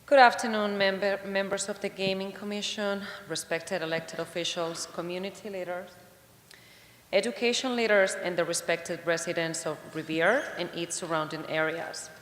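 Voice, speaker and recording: A woman's voice: on the low side, steady tone, moderate pace, a little hiss or hum. A young woman from Spain, giving formal prepared testimony as a public commenter at a hearing. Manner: formal, reads from prepared remarks. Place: large public hearing hall. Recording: long hall reverb.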